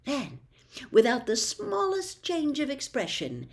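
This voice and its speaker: A woman reading a children's novel aloud as an expressive storyteller. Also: sits high, slow pace, creaky. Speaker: audiobook reader.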